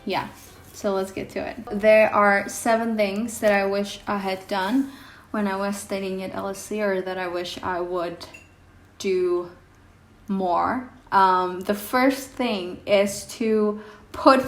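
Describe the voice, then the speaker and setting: A young woman vlogger whose first language is Indonesian, talking casually to her viewers with many pauses and ums. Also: mid-range voice, fairly even intonation. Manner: hesitant, many ums, reflective. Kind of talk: casual vlog talk to camera. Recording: echoey room with faint music.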